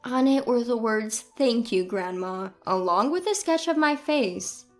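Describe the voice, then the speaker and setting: A woman's voice: sits high, lively intonation, measured pace, faint music behind. A woman reading a scripted first-person family story as its narrator.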